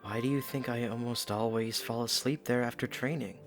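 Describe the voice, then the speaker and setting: An actor playing a young knight, earnest and dutiful with a dry teasing streak, in an audio drama. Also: male voice, mid-range voice, steady tone, normal speed, soft background music, lightly acted. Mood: wary.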